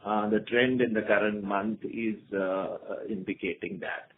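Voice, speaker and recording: A man with an Indian accent, a senior executive answering on an earnings call in a halting way, repeating words. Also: on the low side, fairly even intonation. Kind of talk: answering analyst questions on an earnings conference call. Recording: phone-like conference line with faint noise.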